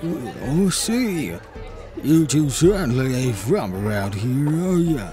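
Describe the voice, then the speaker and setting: A man plays a hulking tavern drunk who slurs and drawls in a folksy, over-familiar way. His delivery is very slow and swings widely in pitch, set in a fantasy audiobook with music and crowd behind. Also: big pitch swings. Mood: sloppy, curious. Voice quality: slurred. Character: big, heavy-set drunkard, sloppy, over-friendly tavern patron.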